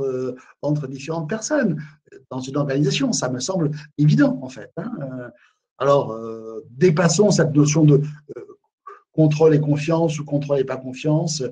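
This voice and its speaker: A man with a slightly high, husky voice talks on a video call as a webinar presenter. He is emphatic, stumbles and repeats words, and often tags his sentences with filler phrases. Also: a little mellow. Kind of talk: live webinar talk on management.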